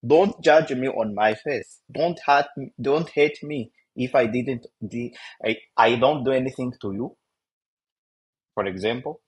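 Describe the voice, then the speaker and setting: Earnest young Rwandan man, husky voice. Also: mid-range voice. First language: Kinyarwanda. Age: young adult. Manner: earnest, rhetorical questions, halting English. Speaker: youth discussion guest. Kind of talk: casual discussion.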